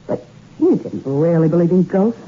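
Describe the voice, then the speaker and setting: A bitter, self-loathing rich young woman who has killed , played by an actress, her voice slightly low. She confesses coolly in 1960s radio drama style. The old broadcast is muffled, with faint hiss. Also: lively intonation, normal speed, natural voice. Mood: cool confession. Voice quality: cool, confessional, then anguished.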